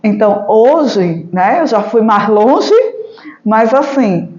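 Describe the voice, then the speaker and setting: A woman's voice: on the high side, animated, slow pace, darkish tone. A woman from Paraíba with a Northeastern Brazilian accent, a teacher explaining things informally in a documentary interview. The recording is roomy and dull. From Juarez Távora, Paraíba, Brazil. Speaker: teacher and heritage education researcher. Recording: echoey room, dull lo-fi sound.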